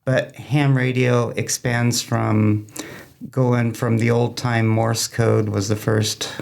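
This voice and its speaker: An American man explaining his hobby informally as an interview guest, in halting, folksy plain terms. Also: mid-range voice, flat delivery, gravelly, a little mellow. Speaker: amateur radio club member, interview guest.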